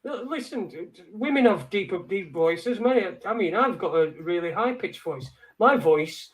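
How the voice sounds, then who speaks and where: A man's voice: sits high, expressive, a little slow, poor audio. A man hosting a live online show, rambling and swearing freely in casual banter. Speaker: show host.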